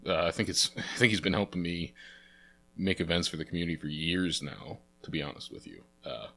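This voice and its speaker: A man's voice: sits low, expressive, fairly quick, vocal fry, bright, forceful tone. A young man with a stuffy, sniffly nose from a cold, casually commenting while playing through games as host and rambling with many fillers. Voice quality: sniffly, congested. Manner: chatty, rambling, lots of uh fillers. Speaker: let's play host. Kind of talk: casual game playthrough commentary.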